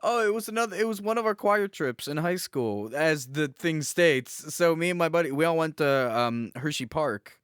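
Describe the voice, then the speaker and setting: A man's voice: on the high side, animated, normal speed, few pauses, fairly bright tone, clean tone, no room sound, studio quality. A man co-hosting a podcast, chatting casually and telling personal anecdotes while acting out the dialogue he quotes. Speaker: podcast co-host.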